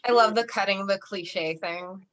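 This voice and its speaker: A woman's voice: mid-range voice, measured pace, fairly bright tone. A woman poet talking casually and informally at a poetry gathering, with some swearing. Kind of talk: casual chat.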